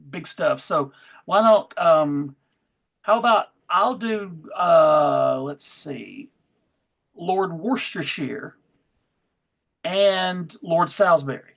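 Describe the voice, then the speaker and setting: A middle-aged man hosting a casual panel chat in a halting, hesitant manner. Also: a little high, vocal fry. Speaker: panel host.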